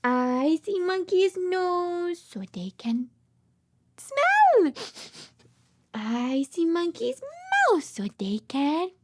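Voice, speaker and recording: A woman hosts a toddler read-aloud in a high voice that swoops dramatically. She speaks extremely slowly, repeating simple phrases and questions with exaggerated, child-directed expression. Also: wildly swooping pitch.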